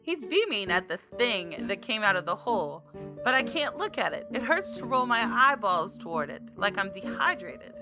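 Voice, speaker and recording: A woman doing a let's play, reading a visual novel aloud in an expressive way and exclaiming as she reacts. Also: mid-range voice, normal speed, over music. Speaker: gaming video creator.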